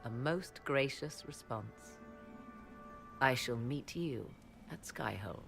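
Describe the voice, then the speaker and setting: A woman's voice: extremely low pitch, expressive, takes it slowly, gravelly, faint music behind, exaggerated voice. A woman voicing an aloof, sardonic apostate sorceress in a fantasy video game, with arch, theatrical, archaic phrasing. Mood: cool, detached.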